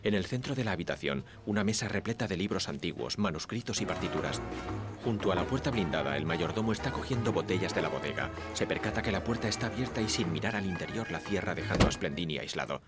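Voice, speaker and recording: A man calmly narrates the on-screen action in a plain, matter-of-fact voice. His voice sits very low. A loud film score plays behind him. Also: fast, loud music behind the voice. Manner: calm, even and matter-of-fact. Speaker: audio description narrator. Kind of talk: scripted narration.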